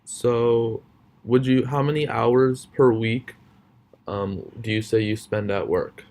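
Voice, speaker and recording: A man's voice: mid-range voice, fairly even intonation, slow, a little mellow. Hesitant young male interviewer. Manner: hesitant, lots of ums. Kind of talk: casual interview questions.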